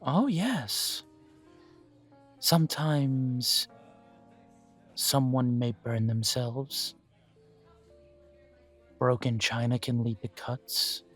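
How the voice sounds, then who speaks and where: A man voicing a polite male elf who owns a coffee shop, interviewing the listener for a job in a calm, formal way. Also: mid-range voice. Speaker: shop manager interviewing an applicant. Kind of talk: audio roleplay, talking to the listener.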